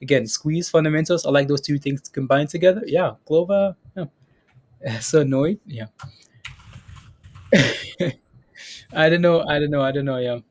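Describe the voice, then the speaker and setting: A man's voice: on the high side, moderate pace, long pauses, darkish tone. A man hosting a live stock trading stream, chatting casually about price levels and reading viewer names aloud. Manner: chatty, repeats phrases, reads chat names aloud, laughs often. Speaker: stock trading livestream host. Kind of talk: casual live market commentary.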